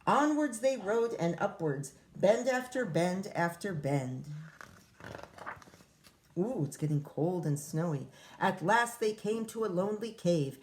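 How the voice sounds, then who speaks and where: A woman reading a rhyming picture book to children as their teacher, expressive, with casual explanatory asides. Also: medium pitch, measured pace, slight background noise.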